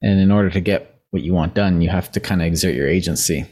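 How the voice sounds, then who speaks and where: A man's voice: low-pitched, fast talker, darkish tone, breathy edge. A young man hosting a casual podcast discussion who is losing his voice, rambling and thinking aloud with many fillers. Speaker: podcast co-host.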